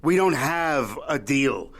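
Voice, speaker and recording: An actor playing a shrewd, folksy old media mogul in an audio drama, haggling in a wry, needling, Yiddish-peppered way. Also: male voice, mid-range voice, expressive, slow, a bit bright, slightly rough, full character voice. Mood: wry, unbudging. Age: older.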